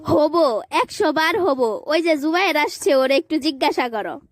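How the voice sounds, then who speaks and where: A woman's voice: high-pitched, expressive, measured pace, dry recording, studio quality. A woman voicing a stubborn, playful young girl in a comic cartoon, whiny and argumentative, with a Bangladeshi accent. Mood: indignant, stubborn.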